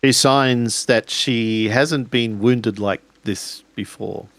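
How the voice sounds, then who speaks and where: A man improvising descriptive narration as a player in a tabletop game, measured and pausing between phrases. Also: mid-range voice, a bit bright, dry recording.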